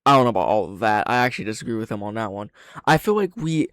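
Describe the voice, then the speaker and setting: A man's voice: lowish voice, sing-song, very fast, a bit bright, dry recording, clean studio sound. A man hosting a casual reaction commentary, thinking aloud with plenty of slang and swearing. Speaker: reaction video host.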